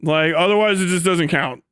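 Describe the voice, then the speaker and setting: A man's voice: a little high, moderate pace, fairly bright tone, clean tone, no room sound. A man, casually ranting as a live streamer in a sweary, incredulous, mocking way. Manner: breaks often into laughter while talking. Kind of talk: casual rant and commentary to a stream audience.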